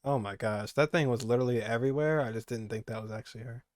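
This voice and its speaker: A man's voice: mid-range voice, fast talker, dry recording. A young man giving casual, rambling reaction commentary as a gaming streamer, repeating phrases for emphasis.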